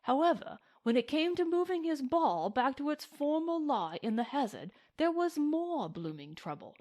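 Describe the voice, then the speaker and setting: An indignant, proper English gentleman played by a woman narrator from a storybook. The voice rides high and exclaims often, flustered and affronted as an umpire. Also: very animated, moderate pace. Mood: flustered indignation. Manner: exclamatory, proper British slang.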